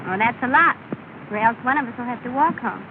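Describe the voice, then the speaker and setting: A woman's voice: a little high, fast, natural voice. An actress playing a poised, kindly, lightly teasing young society woman in a 1930s film. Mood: light, evasive. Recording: tinny, noisy 1930 film soundtrack.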